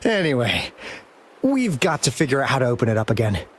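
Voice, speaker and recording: Lively, wry game adventurer. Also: male voice, mid-range voice, brisk. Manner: theatrical, expository, bemused. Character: wry, curious adventurer man marvelling at discoveries. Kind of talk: video game voice acting.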